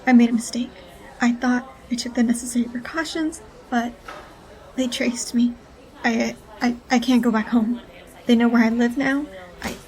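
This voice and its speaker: An actress playing a hunted, earnest female whistleblower in an audio drama, speaking haltingly. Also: a little high, steady tone, a little mellow, natural voice, low-quality recording. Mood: frightened, pleading.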